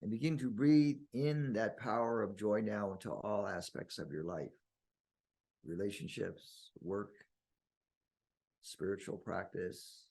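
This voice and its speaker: A man leading a guided meditation in slow, deliberate phrases with long pauses and a husky, gravelly voice. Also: lowish voice, a little mellow.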